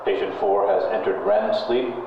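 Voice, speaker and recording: A man's voice: lowish voice, steady tone, normal speed, close to an everyday voice. A man playing an impassive lab technician in a found-footage horror film, announcing procedures clinically. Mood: clinical, focused. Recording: very echoey, muffled, noisy 1980s tape.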